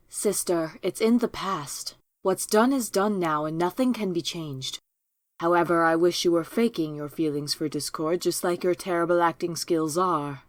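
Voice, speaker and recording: A woman plays a royal pony sister in a cartoon audio drama. She speaks slowly in a slightly low voice with dry, haughty bluntness. The recording has no room sound. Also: no echo, lightly acted. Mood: blunt, sardonic. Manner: measured, blunt, sardonic. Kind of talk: animated-series fan audio drama.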